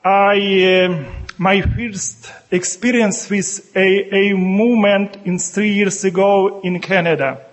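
A man with a Ukrainian accent gives a talk in unhurried, careful English, often pausing and restarting as he searches for words. His voice sits high for a man. He speaks as a bishop addressing a gathering. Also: steady tone. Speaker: bishop and monk, guest speaker. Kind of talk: halting speech to a meeting.

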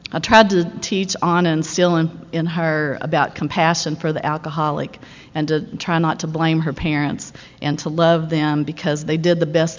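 Folksy woman in a muffled hall. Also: low-pitched. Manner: folksy, candid, wry. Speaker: speaker at a recovery meeting. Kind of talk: personal recovery talk. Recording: muffled recording in a large hall.

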